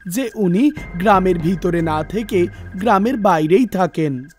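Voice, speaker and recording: A woman's voice: lowish voice, expressive, measured pace, darkish tone, pitched below the performer's own voice. A woman voicing a teasing village man who talks with mock respect in a cartoon folk tale. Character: teasing village man, slyly mocking a neighbour. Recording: music bed under the voice.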